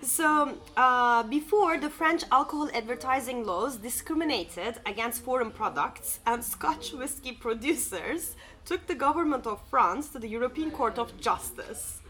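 A woman's voice: on the high side, animated, measured pace, few pauses, bright, forceful tone. A woman from Turkey co-hosting a podcast in casual chat, laughing easily and using many fillers. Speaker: podcast co-host. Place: sitting at a bar or cafe.

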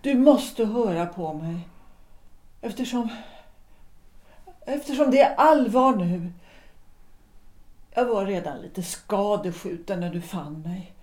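An actress playing a weary but resolute wife in a period audio drama, speaking in a deliberate, reflective way with measured pauses. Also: female voice, mid-range voice, expressive, a little mellow, close to an everyday voice. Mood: earnest, grave. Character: weary, resolute wife and former opera singer, 1900s Stockholm. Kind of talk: period stage-play audio drama acting.

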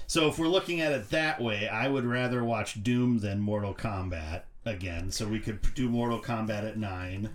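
A man's voice: on the low side, normal speed, few pauses, slight background noise. A man chatting casually as a panelist on a film discussion podcast.

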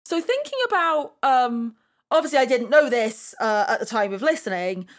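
A woman's voice: on the high side, very animated, moderate pace. A woman hosting a podcast, talking casually as she guides the discussion. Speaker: podcast host.

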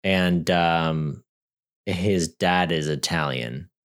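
A man's voice: low-pitched, very slow, clean tone. A man co-hosting a podcast, chatting casually in a wry, joking way, rambling with many fillers and restarts. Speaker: podcast co-host. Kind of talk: casual chat.

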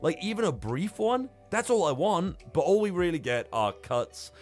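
A man's voice: high voice, big pitch swings, moderate pace, faint music behind. A man in casual podcast chat about films, rambling and swearing freely as a host. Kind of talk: casual film review chat.

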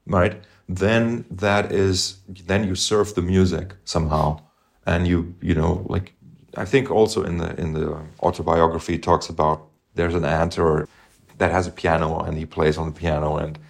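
Middle-aged guy, deep creaky voice, musing about chords in a podcast chat, lots of pauses. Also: fairly even intonation. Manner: thoughtful, halting, hums melodies to illustrate. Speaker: podcast co-host, musician. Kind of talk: casual music discussion.

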